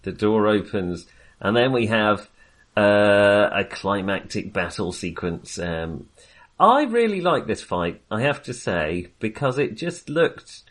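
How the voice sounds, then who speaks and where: A man's voice: lowish voice, sing-song, slow, fairly bright tone. A man co-hosting a film podcast in casual conversation, with a hesitant, rambling and wry way of talking.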